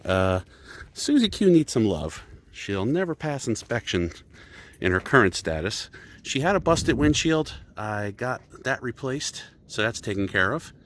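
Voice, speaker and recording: A man's voice: on the low side, a little hiss or hum. A man hosting a casual do-it-yourself car repair vlog, talking in a rambling, self-deprecating way with a stuffy nose. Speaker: DIY video host.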